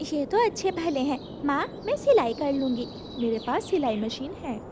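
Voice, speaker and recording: High-voiced gentle mother bird, played by a woman. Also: very animated, normal speed, background noise. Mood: hopeful. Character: gentle poor widowed mother bird, modest and devoted.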